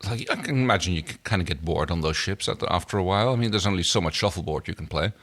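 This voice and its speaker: A man co-hosting a casual recap chat, telling things in a rambling, halting way. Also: low voice, a bit bright. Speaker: podcast co-host. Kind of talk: casual chat, recounting a TV episode.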